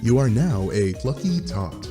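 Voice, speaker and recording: A man's voice: medium pitch, very animated, measured pace, over music. A man narrating a scripted second-person story for a fan dub in a wry manner.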